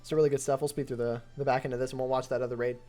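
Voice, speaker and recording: A young man with a voice going hoarse, calling a mobile strategy game match on his live stream. His play-by-play is excitable and builds to near shouts at close finishes. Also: medium pitch, racing, soft background music. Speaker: esports caster and streamer.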